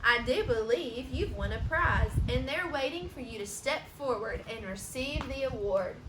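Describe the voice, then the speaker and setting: A woman's voice: high voice, lively intonation, moderate pace. A woman voicing an emphatic male museum guard from a children's storybook, with old-fashioned turns of speech. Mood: amazed, proud. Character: stern, gruff-talking male museum guard who softens to pride. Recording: roomy echo, background noise.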